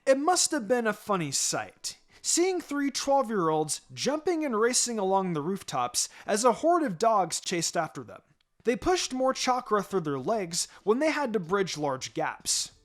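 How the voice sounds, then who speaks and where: Lively story narrator over faint music. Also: mid-range voice. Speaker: fan fiction reader. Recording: music behind the voice.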